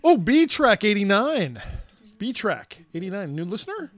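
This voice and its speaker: Give me a slightly high-pitched male co-host reading out listener milestones with dry humor. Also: very animated, a little slow, no echo. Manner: dry, agreeable, lots of "that's right". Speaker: podcast co-host.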